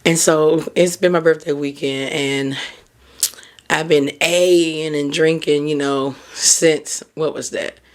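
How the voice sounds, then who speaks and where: A hoarse woman hosting a podcast, talking in a candid, encouraging way and peppering her speech with "like" and "you know". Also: low voice, steady tone, slow. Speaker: podcast host. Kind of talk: casual motivational talk.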